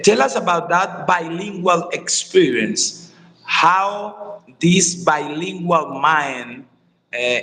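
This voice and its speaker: A man hosting an interview, asking halting questions and repeating words as he starts. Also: fairly high pitch, pushed and bright. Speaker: interview host. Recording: long reverb with faint ambience.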